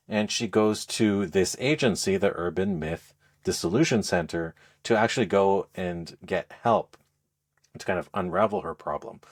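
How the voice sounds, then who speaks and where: A man speaking as a podcast co-host, explaining things in a casual, halting, conversational review style. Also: deep voice. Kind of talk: casual game review chat.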